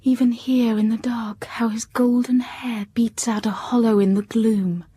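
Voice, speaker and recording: An actress playing a guarded, lonely, quietly yearning young schoolteacher in a radio drama, speaking in a hushed, inward way. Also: female voice, fairly high pitch, steady tone, a little slow, slightly rough, no echo. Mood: guarded, teasing. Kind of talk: radio drama acting and first-person narration.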